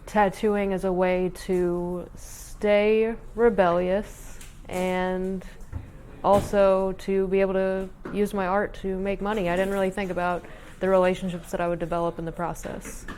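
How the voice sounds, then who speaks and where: A woman who works as a tattoo artist, speaking in a soft-spoken, even way in a documentary interview. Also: medium pitch, unhurried, a little hiss or hum. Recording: faint music behind.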